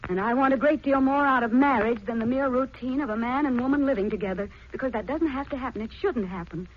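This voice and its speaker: An actress playing a sensitive, cultured married aunt who speaks earnestly and articulately in a 1940s radio drama. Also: female voice, on the high side, quick pace, natural voice. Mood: hurt, earnest. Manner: earnest, articulate, impassioned phrasing. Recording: dull, noisy 1940s radio recording.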